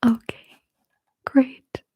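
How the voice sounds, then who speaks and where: A woman chatting to live viewers in a breathy ASMR whisper, with a hesitant, nervous manner. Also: drawn-out words, darkish tone. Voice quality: breathy whisper, mostly unvoiced. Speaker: ASMR streamer hosting a live chat. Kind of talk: whispered ASMR casual livestream chat. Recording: faint background noise with clicks.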